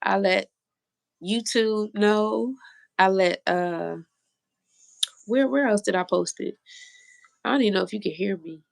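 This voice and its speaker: A woman's voice: medium pitch, unhurried, vocal fry, long pauses, no echo. A woman hosting a casual live stream who sounds a little stuffy. She chats with her viewers and reads their comments aloud. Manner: chatty, talks to viewers in the comments. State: stuffy nose. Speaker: livestream host.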